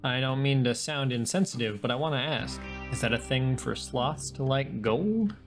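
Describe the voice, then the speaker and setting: Woman putting on a very low, deadpan frog detective. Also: normal speed, music in the background. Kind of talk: video game character read-aloud.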